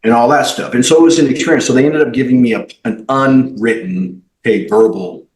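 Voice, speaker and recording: A man, a company CEO, telling his life story as a podcast guest in an animated way, with many fillers and restarts. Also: medium pitch, a little fast. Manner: animated, acts out quoted dialogue, frequent fillers and restarts. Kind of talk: personal storytelling in a podcast interview. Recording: roomy echo.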